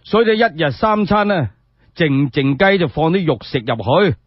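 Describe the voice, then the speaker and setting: A man narrates a historical story solo, in a colloquial, expressive radio-drama style. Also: on the high side, moderate pace, dry recording. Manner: colloquial, expressive, with frequent spoken particles. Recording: dry, dull lo-fi radio-style recording.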